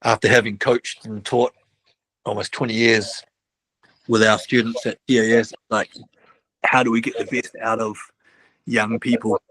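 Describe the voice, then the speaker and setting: A man's voice: fairly low pitch, little pitch movement, moderate pace, pauses often, fairly bright tone, no echo, low-quality recording. A middle-aged man, a basketball coach chatting casually in an interview, rambling with many restarts and tag questions. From New Zealand. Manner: rambling, restarts often, ends with "right?".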